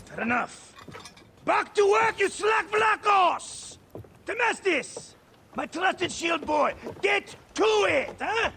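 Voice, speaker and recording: A boisterous video game mercenary sailor, pitched very high with a bright, forceful, gravelly edge. He banters loudly and swaggers, his delivery swinging up and down over some background noise. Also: lively intonation, takes it slowly, exaggerated voice. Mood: boisterous, bantering. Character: boisterous, jovial male Greek mercenary sailor at sea. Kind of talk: video game cutscene acting.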